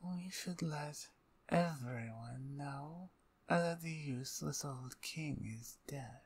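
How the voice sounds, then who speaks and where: Slow, breathy vampire queen played by a woman. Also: deep voice, full character voice. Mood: cold, threatening. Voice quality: breathy, often dropping to a whisper. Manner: slow, deliberate, domineering, teasing. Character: menacing, playful female vampire usurper, seductive and domineering. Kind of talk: ASMR audio roleplay.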